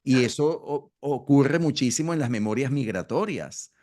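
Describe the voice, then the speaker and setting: A middle-aged man from Venezuela talks at a mid pitch. He explains an idea slowly and often restarts, repeating words as he searches for the next phrase. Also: lively intonation, a little mellow. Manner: hesitant, repeats words while thinking. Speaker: astrology school host. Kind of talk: conversational explanation.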